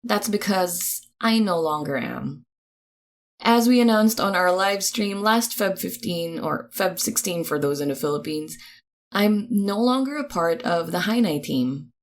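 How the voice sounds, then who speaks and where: A woman reading podcast credits in a chatty, informal, upbeat announcing style, with expressive delivery. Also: lowish voice, unhurried.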